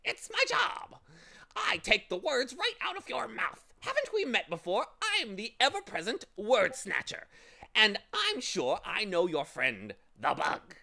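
Filthy storybook bird, shrill, cackling, forceful, meddling, theatrical, self-pitying. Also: male voice, wildly swooping pitch, unhurried, vocal fry, exaggerated voice. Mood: smug. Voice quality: shrieky, cackling. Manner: interrupting, gleefully literal, theatrical.

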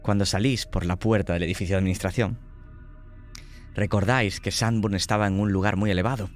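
Deep-voiced Spanish game master. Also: male voice, steady tone, faint music behind. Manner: slow, descriptive and dramatic. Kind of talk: narrating a tabletop horror game.

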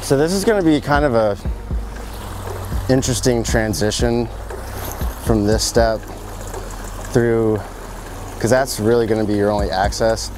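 A man in his thirties, a pond builder, casually walking through a backyard project outdoors, relaxed and full of fillers. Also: medium pitch, unhurried, long pauses, noisy background. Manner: relaxed, filler-heavy, enthusiastic. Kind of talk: casual on-site walkthrough. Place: outdoor backyard construction site.

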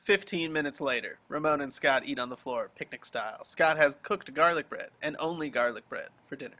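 A man's voice: a little high, fairly quick, pushed and bright. A man narrating the action lines of a film script at an amateur table reading. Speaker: reader of stage directions. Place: remote video call.

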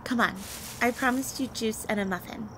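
A woman's voice: fairly high pitch, noisy background, close to an everyday voice. A woman playing a flirty, teasing, confident vampire in an intimate audio roleplay spoken to the listener. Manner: playful, teasing, unhurried delivery with soft breathy asides.

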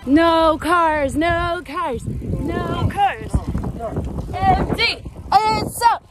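A woman's voice: very high-pitched, extremely slow, loud music behind the voice. Chatty woman vlogging from a bike. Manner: upbeat, chatty, tour-guide commentary. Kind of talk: casual vlog narration. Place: outdoors on a mountain road, riding a bike. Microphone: action camera on the bike.

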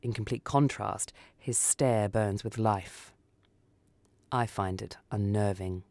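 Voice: low-pitched, takes it slowly, a little mellow, no room sound. A narrator reading a first-person short story dramatically for radio. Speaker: story reader.

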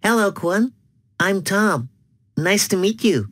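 A young schoolboy in a textbook recording, with a slightly husky tone and a voice that moves up and down freely. The sound is dry and close. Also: female voice, medium pitch, lively intonation, takes it slowly, no echo. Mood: friendly.

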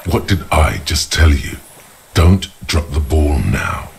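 An actor playing the brooding inner voice of a detective in a video game, narrating in a measured, ominous, gravelly way. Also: male voice, extremely low pitch, expressive, a little hiss or hum, exaggerated voice. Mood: urging. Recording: loud music behind the voice. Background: music.

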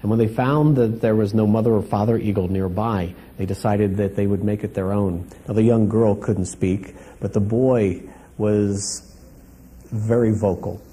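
A man with a husky voice telling a simple tale in an oral storytelling style. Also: lowish voice, fairly even intonation, moderate pace, mellow.

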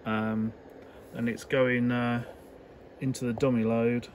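An unhurried man with a mid-pitched voice talks through a hobby demonstration. His delivery is casual and halting, pieced together as he goes. He is recorded indoors with clearly audible background noise. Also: level tone. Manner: hesitant, unscripted, halting phrasing. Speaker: electronics hobbyist presenter. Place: indoor electronics workbench.

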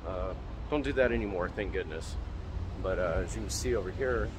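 Guy with a deep voice rambling on a walking tour, traffic noise all around. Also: a little fast. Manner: easygoing, rambling with fillers. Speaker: vlogger. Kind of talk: casual walking tour narration. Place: outdoors on a city street. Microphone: handheld camera while walking. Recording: outdoor street noise and traffic. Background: street traffic.

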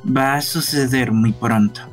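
A man playing a grave, reluctant police detective in a halting, sombre game fan dub. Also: mid-range voice, faint music behind, lightly acted. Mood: grim. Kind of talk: live fan dubbing of a visual novel game.

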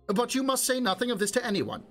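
A man voicing a smooth-talking roguish quarry worker who spins evasive explanations, in an audiobook reading. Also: very high-pitched, big pitch swings, fast talker, a bit bright, soft background music, voice pushed up, heavily acted. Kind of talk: audiobook character voice.

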